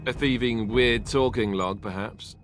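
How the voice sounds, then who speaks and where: A man's voice: mid-range voice, measured pace, faint music behind, close to an everyday voice. A man playing a suspicious, wry young warrior in a video game, guarded and sarcastic. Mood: wary.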